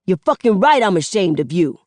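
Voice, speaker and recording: A woman voicing a hurt young man who shouts and swears at his mother. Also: on the low side, big pitch swings, a little fast, no echo, clean studio sound. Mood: angry.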